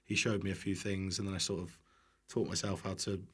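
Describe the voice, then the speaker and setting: A man's voice: deep voice, flat delivery, fairly quick, fairly bright tone, husky. A British man chatting casually as a podcast guest, informal, self-deprecating and happy to swear. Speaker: podcast guest, online cooking content creator. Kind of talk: casual chat.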